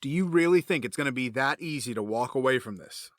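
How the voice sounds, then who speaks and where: A man playing a cold, grieving villain in an audio drama, menacing, sardonic and quick to justify himself. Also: mid-range voice, lively intonation, fairly bright tone, dry recording, natural voice. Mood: bitter, menacing. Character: cold, obsessed male villain, a grieving widower running experiments.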